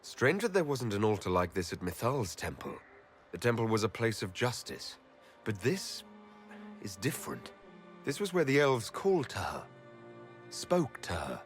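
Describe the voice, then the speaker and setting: Resolute elven hero, expressive. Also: male voice, on the low side, moderate pace, faint music behind. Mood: reflective. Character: resolute male elven hero, solemn and dry. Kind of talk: dramatic fantasy video game acting.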